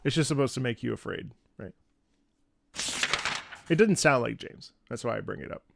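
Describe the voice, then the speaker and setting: A man streaming a video game, thinking aloud in casual, animated commentary as he analyzes the music. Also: mid-range voice, normal speed, vocal fry, background noise.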